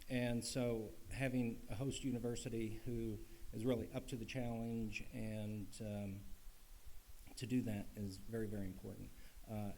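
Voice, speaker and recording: A man's voice: mid-range voice, dark tone, slight background noise, rough recording. A man chairing a conference, welcoming attendees and making announcements in a friendly way with many uhs and ums. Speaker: association chair and conference host. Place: conference hall.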